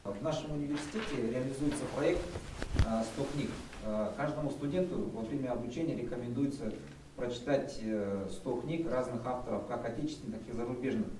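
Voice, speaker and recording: A man putting prepared questions to a guest at a university press event, measured with hesitant fillers. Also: mid-range voice. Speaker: interviewer. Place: university conference hall. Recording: roomy hall, distant mic, faint noise.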